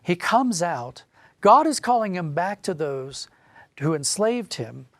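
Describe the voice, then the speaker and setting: A man speaking as an interview guest, a writer explaining and telling stories in an animated, conversational way. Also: high voice, slow pace, no room sound.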